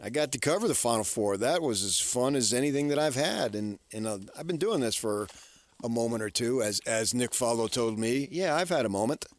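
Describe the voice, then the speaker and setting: American man talking sports, halting and dry-miked. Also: medium pitch, lively intonation, dry recording. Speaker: sports radio co-host. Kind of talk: casual sports talk opinion. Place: radio studio. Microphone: close studio microphone.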